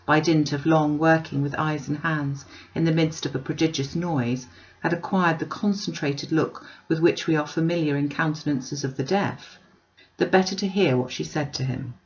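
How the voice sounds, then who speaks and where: A woman's voice: low voice, fairly even intonation, normal speed. Woman narrating a classic, slightly muffled. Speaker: audiobook reader. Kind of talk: expressive storybook narration of a classic novel.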